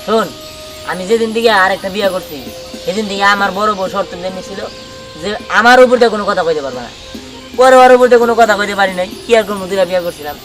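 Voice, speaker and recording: A man plays an exasperated, henpecked master of the house in a comedy drama. He grumbles plaintively in a high, fast voice with a Bangladeshi accent. Music plays clearly behind him. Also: fairly bright tone. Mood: complaining. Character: henpecked, exasperated master with two domineering wives. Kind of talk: Bengali comedy drama acting.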